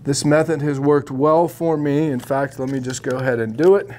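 A man's voice: a little high, moderate pace, noisy background. A middle-aged man giving a casual, opinionated fly fishing tutorial to camera in a shop studio, with plenty of ums and uhs, on a lapel mic.

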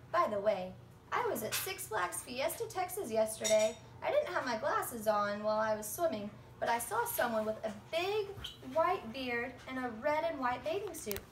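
An eager little kid, read by a young woman in a high, swooping voice, earnest and full of questions. It is a storybook read-aloud, recorded from a distance in a roomy space. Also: sing-song, normal speed. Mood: eager, curious. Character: eager, polite young children writing letters to Santa. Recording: roomy, distant phone recording with faint noise.

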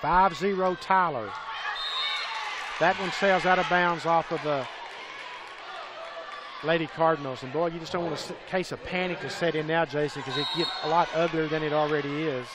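A man calling a college volleyball match play by play, excitable and raising his voice on big plays. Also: a little high, moderate pace, pauses often. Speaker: play-by-play commentator. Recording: crowd and gym noise behind.

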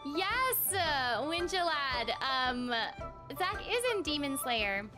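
A woman's voice: high voice, very animated, takes it slowly. A young woman chatting casually and informally on a game livestream, with a bubbly manner, close on the mic. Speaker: game streamer and voice actress. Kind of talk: casual livestream chat over gameplay. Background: game music.

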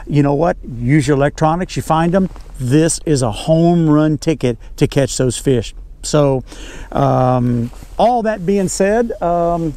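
Older southern guy, kinda high voice, folksy fishing guide explaining technique outdoors, pretty dry sound. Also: warm tone, no room sound. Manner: folksy, repeats key words for emphasis. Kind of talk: informal instructional talk. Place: outdoors on a bass boat on a lake.

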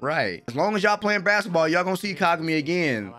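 A man's voice: fairly high pitch, very animated, fast talker, bright, forceful tone, soft background music. A young American man giving casual, emphatic reaction commentary full of slang, speaking close to a microphone in a small room at home. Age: young adult. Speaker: anime reaction host. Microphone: desk microphone close up, headset worn.

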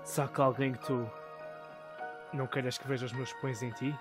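A man plays a sardonic anime teenager, cool and blunt. He speaks European Portuguese at a middling male pitch, with loud music behind him. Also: flat delivery, brisk, close to an everyday voice. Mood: sardonic, annoyed. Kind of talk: anime fan dub acting.

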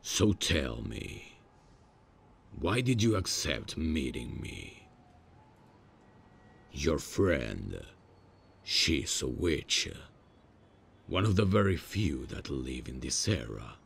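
Very deep, slow, gravelly man playing a grumpy demon. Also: exaggerated voice. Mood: curious, deadpan. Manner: hesitant, deadpan, menacing, slow. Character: grumpy, proud male demon, awkward on a date. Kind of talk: ASMR roleplay acting.

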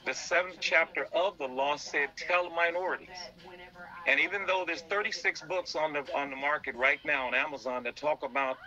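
Male interview guest, very bright forceful voice, earnest and halting, on a dull lo-fi feed. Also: fairly high pitch. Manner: halting, repeats words. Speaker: interview guest, business founder. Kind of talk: earnest explanatory interview talk.

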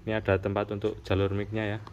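A man's voice: on the low side, monotone, fast, lo-fi sound. A man giving a casual hands-on tutorial, explaining step by step in an informal, repetitive way. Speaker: motorcycle accessory shop presenter. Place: indoors at a wooden workbench. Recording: clearly noisy room with background hum.

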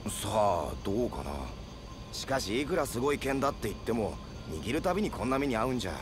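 Male actor as boisterous young soldier, rough casual talk, mid-pitched, very animated, loud music underneath. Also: brisk, lightly acted. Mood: puzzled, concerned. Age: young adult. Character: brash, easygoing, loyal muscular young soldier buddy. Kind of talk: Japanese video game voice acting.

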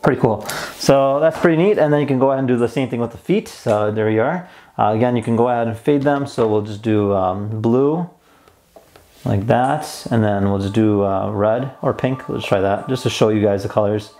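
A man's voice: medium pitch, brisk, mellow, slight background noise. A man in his twenties giving a casual hands-on product demo in an easygoing, mildly enthusiastic way. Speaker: host of a toy review channel. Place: plain indoor room at a table.

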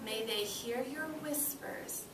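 A young woman, an elementary teacher, leading an interactive read-aloud for small children in an encouraging, expressive way. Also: fairly high pitch, slow pace. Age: young adult. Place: classroom with children seated on the floor. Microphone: far camera. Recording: roomy, noisy classroom, distant microphone.

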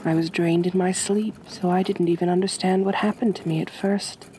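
Woman doing a soft soothing vampire lady for asmr, lowish voice, kinda possessive, noisy ambience behind her. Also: steady tone, natural voice. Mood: tender, soothing. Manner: gentle, measured, genteel, reassuring. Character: kind, possessive aristocratic female vampire mistress.

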